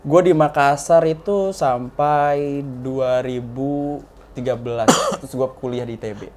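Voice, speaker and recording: A man's voice: medium pitch, unhurried, a little mellow, slight background noise. A young adult man, a guest stand-up comedian, joking in slangy casual banter with mild swearing. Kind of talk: casual podcast chat.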